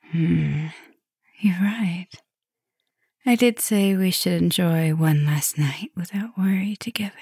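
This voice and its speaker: A woman playing a tender, gently dominant vampire professor in an intimate ASMR roleplay, speaking softly and soothingly, often slipping toward a whisper. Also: fairly low pitch, no echo, clean studio sound, heavily acted. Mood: loving, wistful. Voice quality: soft, breathy, often dropping to a whisper. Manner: slow, soothing, affectionate murmuring with pauses.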